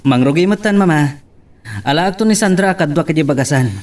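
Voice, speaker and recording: A man's voice: fairly high pitch, lively intonation, a little fast, distant mic, close to an everyday voice. A man with an Ilocano accent playing a young small-time rice trader in a romantic audio drama. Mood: earnest. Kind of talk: Ilocano radio-style love drama acting.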